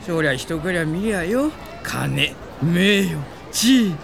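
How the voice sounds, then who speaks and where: An actor playing a hot-headed, self-pitying middle-school gang boss in a comic audio drama, talking in rough, boastful delinquent slang. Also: male voice, high voice, lively intonation, slow pace, crowd noise, exaggerated voice. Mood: brooding, self-pitying.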